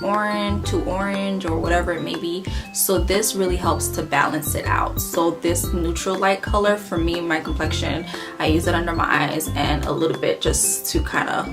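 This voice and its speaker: Chatty woman with a slight Haitian accent. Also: lowish voice. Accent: Haitian Creole. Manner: chatty, rambling, many ums and self-corrections. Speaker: beauty vlogger. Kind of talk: casual makeup product review. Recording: clear background guitar music.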